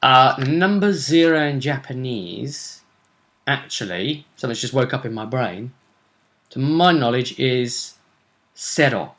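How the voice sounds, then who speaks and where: A man's voice: medium pitch, animated, unhurried, fairly bright tone. Man hosting a casual recap. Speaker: vidcast host. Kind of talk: casual recap commentary on a tabletop role-play tournament.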